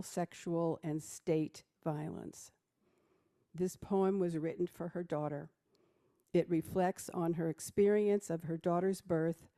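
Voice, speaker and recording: Soft-spoken woman reading slowly. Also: lowish voice. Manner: gentle, unhurried reading. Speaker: worship reader. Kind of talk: reading a poem aloud in a service.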